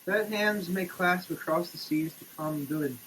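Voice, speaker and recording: A man giving a theatrical, declamatory dramatic reading, swinging between hushed delivery and shouting, as a comic performance. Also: high voice, moderate pace, a little hiss or hum, low-quality recording. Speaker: sketch comedy performer. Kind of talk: dramatic reading of a product label. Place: shower.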